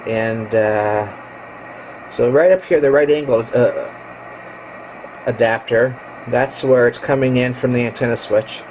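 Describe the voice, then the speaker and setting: Halting man over clear background noise. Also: mid-range voice, a little mellow. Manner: matter-of-fact, halting, technical explaining. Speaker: ham radio hobbyist showing his equipment. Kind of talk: casual hands-on video walkthrough. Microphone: handheld camera microphone. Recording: clearly noisy handheld camera recording.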